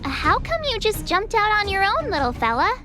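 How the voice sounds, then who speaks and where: A woman voicing a perky, impatient young girl adventurer in a video game, expressive and teasing. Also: very high-pitched, moderate pace. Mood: playful, curious. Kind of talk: video game cutscene acting. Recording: light music behind the voice.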